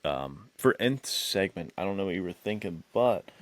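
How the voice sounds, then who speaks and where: A man with a very low voice chats casually on a podcast. His delivery is laid-back and full of enthusiastic slang. The sound is dry and close, with little room in it. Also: lively intonation, moderate pace, no echo. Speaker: podcast co-host. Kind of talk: casual film discussion chat.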